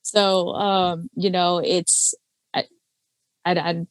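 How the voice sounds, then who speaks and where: Woman, low alto, casual podcast chat, dry close mic. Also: little pitch movement, extremely slow, clean tone, no echo. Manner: many fillers and restarts, explains with examples. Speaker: podcast guest, karaoke singer and judge.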